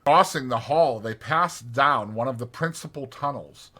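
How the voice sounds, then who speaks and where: Bright-voiced man narrating a storybook. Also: mid-range voice, a little slow. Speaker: audiobook narrator.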